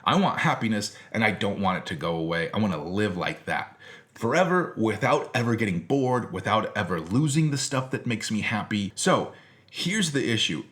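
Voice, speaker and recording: A man's voice: fairly low pitch, big pitch swings, normal speed, fairly bright tone. An American man giving an informal philosophy lecture in a conversational, emphatic way, as a teacher presenting to an audience he addresses directly.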